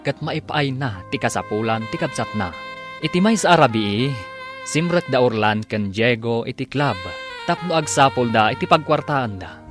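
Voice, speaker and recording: A man with an Ilocano accent, a radio drama announcer reading scripted, dramatic narration. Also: mid-range voice.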